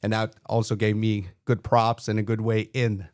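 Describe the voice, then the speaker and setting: A man's voice: on the low side, little pitch movement, moderate pace, a little mellow, dry recording, clean studio sound. A man in his forties from Montreal with a Quebec French accent, French his first language, telling stories easygoing as a firefighter-paramedic guest on a podcast interview. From Montreal, Canada. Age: 40s.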